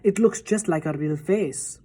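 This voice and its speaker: A woman voicing a composed, affectionate old grandmother who speaks calmly. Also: lowish voice, a little slow, breathy edge. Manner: calm, cool, affectionate.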